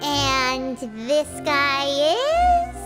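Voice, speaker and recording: A woman voicing a tiny, cheeky fairy sidekick in a squeaky, childlike cartoon game voice. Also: wildly swooping pitch, extremely slow, over music, exaggerated voice. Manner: cheeky, exclaiming. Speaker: video game mascot sidekick. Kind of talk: cartoonish game character acting.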